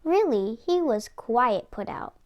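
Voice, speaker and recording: A woman reading a children's story aloud as an expressive narrator. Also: fairly high pitch, takes it slowly, highs cut off. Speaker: children's book reader.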